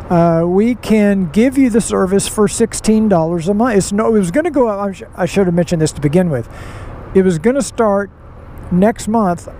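A middle-aged man talks from his helmet mic as he rides a motorcycle through town, his voice sitting fairly high for a man. Steady road and wind noise runs under his words. He tells his story in a folksy, rambling way, full of asides. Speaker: motorcycle vlogger. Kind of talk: casual riding vlog monologue. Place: outdoors riding a motorcycle on city roads. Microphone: helmet mic, helmet-mounted camera.

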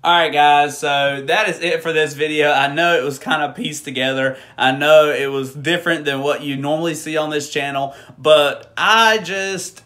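Man, 30s, chatty home tour host, slightly high voice, echoey empty house, a bit off mic. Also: steady tone, normal speed, few pauses, bright, forceful tone, recorded from a distance. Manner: chatty, upbeat, self-mocking. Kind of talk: casual home tour and tips vlog. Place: indoors in a show home, empty rooms. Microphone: phone camera, often at arm's length.

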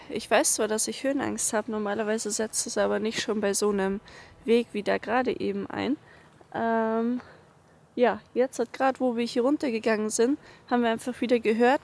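A woman's voice: fairly high pitch, gravelly, darkish tone. A woman in her twenties giving a frank, colloquial vlog update while hiking, talking casually to a handheld camera. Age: 20s. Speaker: travel vlogger. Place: outdoors on a mountain trail. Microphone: handheld camera at arm's length. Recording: outdoor camera audio with ambient noise.

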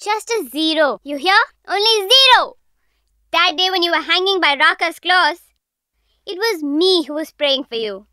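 Shrill, nagging rabbit wife. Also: female voice, expressive, a little slow, sharp tone, no echo, clean studio sound, exaggerated voice. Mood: scornful, scolding. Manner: sarcastic, scolding, relentless. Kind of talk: animated cartoon acting.

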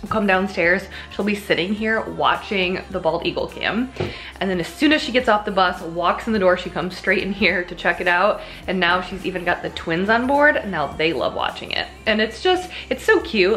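A woman's voice: medium pitch, animated, moderate pace, a bit bright, faint music behind. A woman in her thirties chatting casually as a home vlogger, in a rambling, conversational way. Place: indoors at home.

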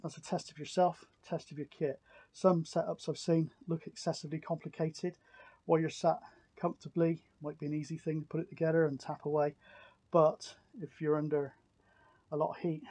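A man's voice: a little high, dark tone, no echo. A middle-aged man chatting casually outdoors as a vlogger and CB radio operator. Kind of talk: casual vlog talk to camera and radio chat. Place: outdoors on open hillside in countryside.

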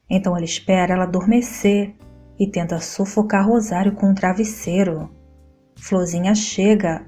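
A woman reading a scripted soap opera plot summary in a steady, even voice, with a Brazilian Portuguese accent. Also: medium pitch. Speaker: soap opera recap narrator. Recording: voice-over with faint guitar music behind.

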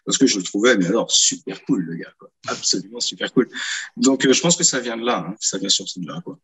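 Husky low-voiced man on a video call. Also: fast, rough recording. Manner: relaxed, many hesitations and repeated words. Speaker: guest author. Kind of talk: casual interview answers. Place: small room indoors. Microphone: video call, earphones.

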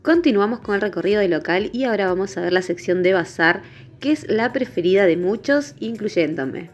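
A woman's voice: medium pitch, lively intonation, brisk. A woman narrating a shopping vlog in voice-over. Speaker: vlogger. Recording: dull lo-fi voice-over with music. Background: background music.

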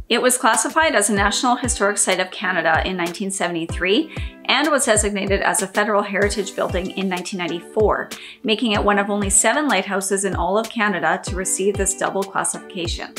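A middle-aged woman hosting her own channel, reading a scripted informative narration in an upbeat, clear way, with a slight lingering cough. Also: medium pitch. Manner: upbeat, clear, lively on list headings. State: lingering cough after illness. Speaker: channel host. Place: indoors before a green screen. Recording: background music under the voice.

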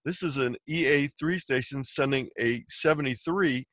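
Male presenter for a software tutorial. Mid-pitched, methodical explainer, close dry recording. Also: normal speed, dry recording. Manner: explanatory, step by step. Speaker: presenter teaching amateur radio software. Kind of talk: instructional slide presentation.

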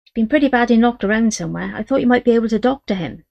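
A woman's voice: a little high, racing, creaky, dark tone, no echo, highs cut off, pitched above the performer's own voice. A woman voicing an earnest young boy in a storybook reading.

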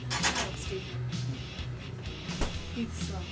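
A young woman playfully hosting a homemade cooking show in a small home kitchen, teasing and bursting out now and then. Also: music in the background. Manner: bubbly, teasing, quick outbursts.

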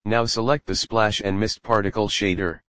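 A man narrating a software tutorial voice-over in short, clipped step-by-step instructions with simple grammar. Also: on the low side, fairly even intonation, moderate pace.

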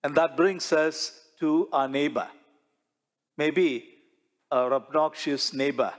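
Slow-preaching priest in a reverberant church. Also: male voice, on the high side. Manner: slow, measured preaching with rhetorical questions and gestures. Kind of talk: sermon. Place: large church sanctuary before the altar.

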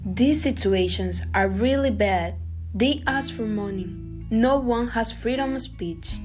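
A woman's voice: on the high side, measured pace. Earnest young woman from Guatemala. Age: young adult. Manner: earnest, steady reading. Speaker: student podcaster. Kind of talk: scripted reading. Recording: guitar music underneath.

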